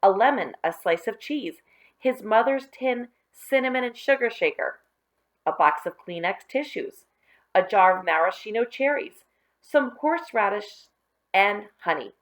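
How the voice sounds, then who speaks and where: A woman storyteller reading a children's book aloud expressively, with deliberate phrasing and stressed key words. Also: mid-range voice, animated.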